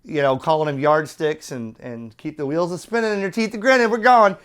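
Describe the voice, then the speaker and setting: A man's voice: fairly high pitch, brisk, fairly bright tone, clean tone. A middle-aged man giving a casual product review in a chatty, opinionated, colloquial way. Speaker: radio reviewer.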